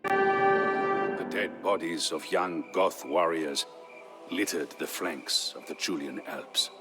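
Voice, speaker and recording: A man narrating as a grave Visigoth storyteller, recounting war history in a solemn, measured, theatrical way for a historical game. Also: low voice. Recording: music behind the voice. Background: music.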